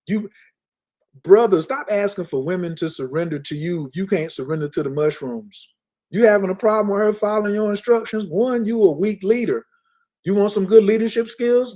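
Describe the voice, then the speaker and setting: A man teaching in an emphatic, lecturing way on a casual podcast, repeating key words slowly. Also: high-pitched, warm tone. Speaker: spiritual teacher. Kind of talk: casual podcast talk, preaching.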